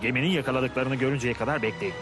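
A man's voice: medium pitch, very fast, over music, natural voice. A man voicing a boastful, hot-tempered fishing captain in a dubbed educational cartoon, brash and blustering.

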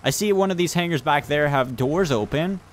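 A man's voice: a little high, brisk, slight background noise. A man chatting casually through multiplayer gameplay as the group's leader, calling out directions and instructions to teammates. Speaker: gamer leading a multiplayer roleplay group.

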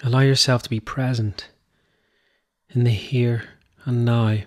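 Male meditation guide, mid-pitched voice, gentle instructional delivery, short phrases with space between them. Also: flat delivery, a little slow.

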